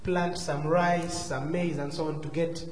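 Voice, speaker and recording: A man's voice: a little high, little pitch movement, measured pace. Male guest speaker, southern African accent, courteous and hesitant, echoey live room with faint noise. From Zambia and Malawi. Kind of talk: personal testimony to a congregation. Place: large church hall, live before a congregation.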